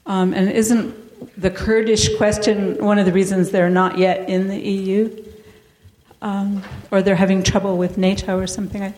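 A woman's voice: medium pitch, little pitch movement, normal speed, a little mellow. A woman in the audience asking the panel questions, inquisitive and slightly unsure. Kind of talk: casual group discussion. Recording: echoey room.

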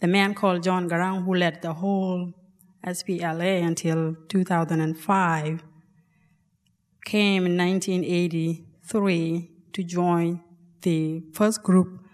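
A woman from South Sudan with a South Sudanese accent, telling a personal story on stage in a halting, unhurried way. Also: lowish voice, little pitch movement, a little mellow, in a large hall. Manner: slow, halting, with restarts. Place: large performing arts hall.

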